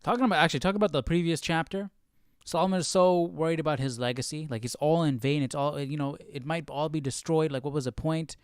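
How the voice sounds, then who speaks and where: A man's voice: fairly high pitch, steady tone, fast, clean tone, dry recording, studio quality, faint music behind. A man hosting a Bible study livestream, talking casually and off the cuff, searching for words and stretching phrases for emphasis. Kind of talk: casual unscripted commentary.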